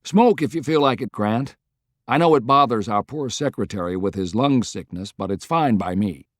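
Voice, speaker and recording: A man's voice: mid-range voice, animated, moderate pace, dry recording, clean studio sound, natural voice. Man voicing a folksy, emphatic American president. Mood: relaxed. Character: plain-spoken, weary, folksy male American president.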